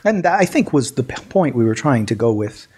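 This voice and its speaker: A man co-hosting a casual podcast, telling stories in a lively way with lots of restarts. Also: mid-range voice, a little fast, husky. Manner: animated storyteller, many restarts. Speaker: podcast co-host. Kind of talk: casual chat.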